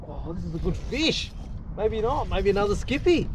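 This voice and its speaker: A man in his forties with an Australian accent, chatting excitedly to viewers while fishing from a kayak on a bay. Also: sits high, moderate pace. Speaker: fishing vlogger. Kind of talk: casual running commentary while fishing. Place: kayak on open bay outdoors. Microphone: action camera mounted on kayak. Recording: wind and water noise outdoors.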